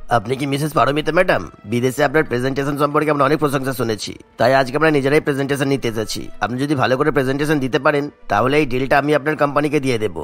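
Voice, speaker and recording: A demanding cartoon business client, acted by a man, formal and curt. The voice is low and quick, over faint music. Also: a bit bright, natural voice.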